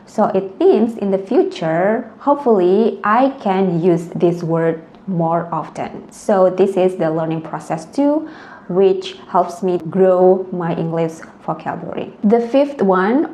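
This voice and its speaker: A woman's voice: mid-range voice, warm tone, reverberant. A young woman with an Indonesian accent, a language tutor earnestly explaining her points one by one in a vlog.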